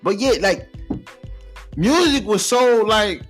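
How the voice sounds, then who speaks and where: High-voiced American man chatting on a podcast. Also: slow pace, faint music behind. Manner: animated slangy reminiscing, swears freely. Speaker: podcast host. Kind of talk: casual podcast chat.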